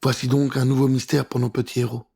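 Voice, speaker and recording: A man narrating a scripted children's adventure story in an expressive, suspenseful way. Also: mid-range voice, quick pace, creaky, slightly rough, soft background music.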